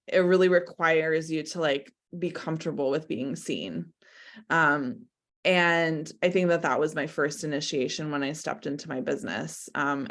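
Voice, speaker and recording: A woman from Croatia and America, speaking as an interview guest in an introspective, conversational way peppered with "like". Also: deep voice. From born in Croatia, lived mostly in America. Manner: introspective, hedging with frequent "like". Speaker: interview guest, business coach.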